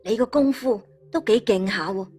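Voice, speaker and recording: A woman voicing a gruff, wary male fighter in a martial arts audio story. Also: fairly high pitch, normal speed, over loud music, lightly acted. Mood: hostile.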